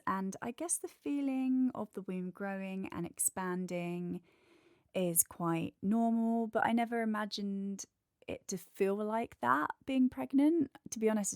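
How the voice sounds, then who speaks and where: A woman's voice: mid-range voice, creaky, darkish tone, dry recording, studio quality. A congested woman with a nasal voice, hosting a candid, chatty solo podcast and working through a numbered list. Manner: candid, chatty, lists points one by one. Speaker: podcast host. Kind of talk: casual solo podcast monologue.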